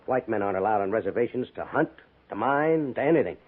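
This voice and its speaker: A tinny vintage radio recording of a weary army major. His low voice is husky, and he explains things earnestly and in measured phrases. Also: expressive. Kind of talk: 1950s western radio acting.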